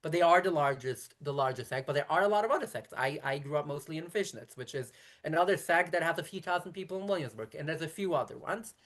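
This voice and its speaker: A woman rabbi in her thirties from brooklyn, yiddish first language, talks fast in long explaining runs, keeps restarting and fixing her words mid-sentence. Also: low voice, lively intonation. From Brooklyn, New York. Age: 30s. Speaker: rabbi, interview guest. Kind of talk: conversational interview.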